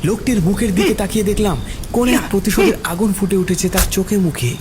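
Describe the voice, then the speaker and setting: Male story narrator over loud music. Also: high-pitched, fast talker. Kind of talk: dramatic first-person story narration, acting out own dialogue.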